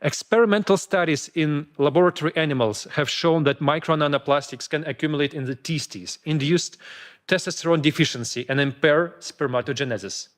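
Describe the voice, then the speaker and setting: A man's voice: a little high, brisk. A man giving a prepared scientific talk at a conference, reading carefully with small stumbles and restarts. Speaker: conference speaker. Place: conference hall.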